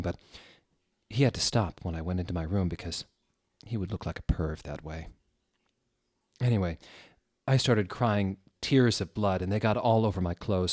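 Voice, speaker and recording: A man's voice: sits low, no echo, clean studio sound. A man doing a mock-dramatic, amused comedic reading of a story. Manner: theatrical, amused, swings into loud exclamations. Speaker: reader of fan fiction.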